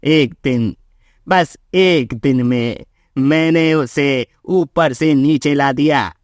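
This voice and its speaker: Man acting a slow-talking, scheming village villain. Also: fairly high pitch, no room sound, clean studio sound. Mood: gloating. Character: scheming, greedy, boastful male village milk seller. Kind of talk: Hindi moral-story cartoon acting.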